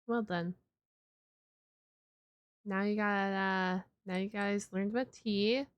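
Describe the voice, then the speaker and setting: A woman's voice: medium pitch, takes it slowly, clear, resonant tone, dry recording, lo-fi sound. A woman giving casual, chatty reaction commentary as a streamer, exclaiming and playfully repeating words. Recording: loud steady background noise.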